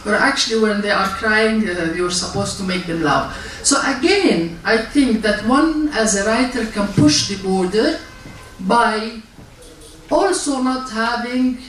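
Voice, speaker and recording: An older woman from Palestine, speaking as a writer on a festival panel, chatty and self-mocking with halting restarts. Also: mid-range voice, fairly bright tone. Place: public library event hall. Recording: echoey hall, distant mic, faint noise.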